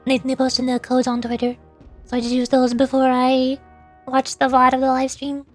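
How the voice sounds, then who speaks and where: A woman's voice: high voice, completely flat delivery, normal speed, faint music behind. A woman streaming as a VTuber, chatting casually in a playful, rambling way during a game livestream.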